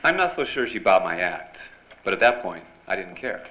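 A man's voice: low voice, big pitch swings, moderate pace, sharp tone, exaggerated voice. An actor playing a wisecracking, hardboiled newspaper reporter in a noir radio drama, with wry tough-guy slang. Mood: wry narration. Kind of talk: noir radio drama acting with first-person narration. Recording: dull, noisy live radio-play recording.

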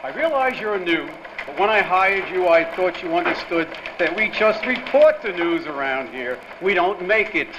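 A man's voice: high-pitched, very animated, normal speed, hardly any pauses. A man playing a hearty, teasing newspaper boss in a 1940s-style radio drama. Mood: stern. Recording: dull, noisy live radio-play recording.

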